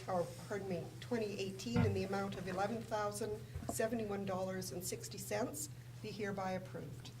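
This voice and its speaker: A woman administrator reading formal council resolutions and figures aloud in a measured way in a council chamber. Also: medium pitch. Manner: measured, reads figures carefully. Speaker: municipal administrator. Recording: distant mic with room noise.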